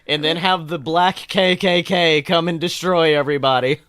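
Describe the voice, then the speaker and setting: A man co-hosting a casual film chat podcast, talking in a jokey, animated way and swearing freely. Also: a little high, normal speed, smooth. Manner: animated, jokey, acts out imagined dialogue. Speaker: podcast co-host. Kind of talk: casual chat about films.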